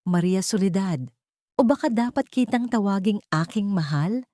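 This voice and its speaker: A woman's voice: mid-range voice, expressive, normal speed, mellow, no room sound, clean studio sound, lightly acted. A woman voicing a taunting, dangerous man behind bars in an audio story. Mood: mocking.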